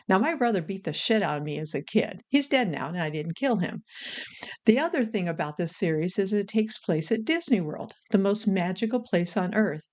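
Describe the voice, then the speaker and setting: Woman vlog host, mid-range voice, chatty, frank how-to delivery. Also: medium pitch. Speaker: video creator and host.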